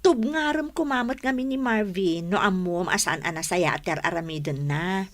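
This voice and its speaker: A woman's voice: on the low side, moderate pace, natural voice. A woman playing a concerned, frank friend who gives earnest, admonishing advice in an Ilocano Filipino radio drama.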